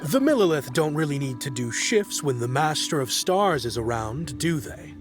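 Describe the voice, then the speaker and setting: An actor voicing a wordy, deferential merchant in a video game, chatty and slightly hesitant. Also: male voice, fairly high pitch, very animated, moderate pace. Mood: impressed, accepting. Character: chatty, deferential male merchant townsman, credulous believer. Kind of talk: scripted video game voice acting. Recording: background music under the voice.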